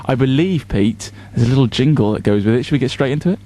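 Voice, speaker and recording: A man's voice: mid-range voice, animated, fast talker, mellow. A man in his twenties co-hosting a radio show in a casual, bantering presenting style. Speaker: radio co-host. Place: radio studio.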